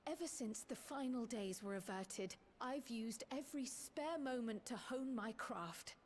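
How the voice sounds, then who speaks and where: A woman's voice: a little high, a little hiss or hum, lightly acted. A woman voicing a polite, determined young scholar and magic user in a video game, speaking in a formal, articulate, earnest way. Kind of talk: video game cutscene acting.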